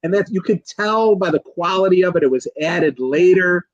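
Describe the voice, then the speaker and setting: A man in a casual film discussion as a guest expert, speaking in a halting, rambling way and often correcting himself. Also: sits high, expressive, no echo, highs cut off. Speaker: guest expert, college professor.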